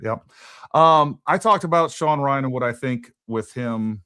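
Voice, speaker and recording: A man's voice: mid-range voice, measured pace, sharp tone, smooth, no room sound, clean studio sound. A man hosting a casual political talk show, thinking aloud and repeating words as he goes. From Texas. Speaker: podcast host.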